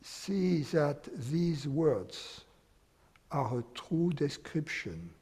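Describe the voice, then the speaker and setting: Male meditation teacher, slow and unhurried, mellow husky voice, long hall reverb. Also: fairly high pitch, very animated. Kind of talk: guided meditation.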